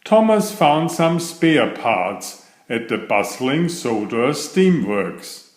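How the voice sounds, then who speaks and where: Slow, expressive man reading in an echoey room. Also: mid-range voice. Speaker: storybook reader. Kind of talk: read-aloud children's bedtime story narration. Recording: roomy, echoey sound.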